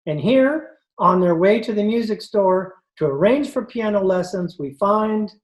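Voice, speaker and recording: A woman's voice: mid-range voice, lively intonation, a little slow. A woman announcer reading scripted narration for an old-time radio show. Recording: video call recording.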